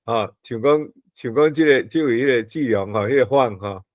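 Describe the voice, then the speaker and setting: A man with a Taiwanese accent giving casual feedback, somewhat halting, with frequent tag particles. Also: mid-range voice, no echo. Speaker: forum participant. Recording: dull lo-fi online call audio.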